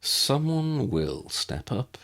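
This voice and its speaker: A man's voice: medium pitch, very animated, a bit bright, voice pushed up, heavily acted. A man voicing a cold, disdainful, aristocratic wizard father who sneers smugly in a fantasy audiobook. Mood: sneering, smug. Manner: disdainful, smug, drawn-out delivery.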